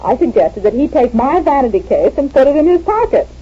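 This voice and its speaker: A woman's voice: high-pitched, big pitch swings, brisk, breathy edge, heavily acted. A woman playing a scheming woman recounting a dinner plot in a 1940s radio comedy, on a muffled old recording. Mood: wry.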